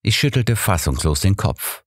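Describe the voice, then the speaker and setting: A man's voice: low-pitched, very animated, normal speed, breathy edge, no room sound, studio quality. A man narrating a detective story as a scripted audiobook.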